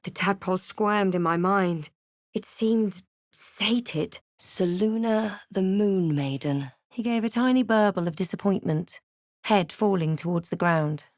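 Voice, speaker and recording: A woman playing an adventurous, thoughtful fantasy hero in a role-playing game, with a measured, literary and dramatic delivery. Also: mid-range voice, dry recording, studio quality, lightly acted. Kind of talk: scripted game voice lines and narration.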